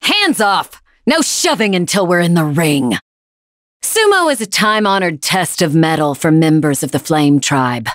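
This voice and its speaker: A woman's voice: lowish voice, sing-song, unhurried, no echo, clean studio sound. A woman playing a tough, proud young female fighter in a video game, blunt and commanding. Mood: confident, stern. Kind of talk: English game character voice lines.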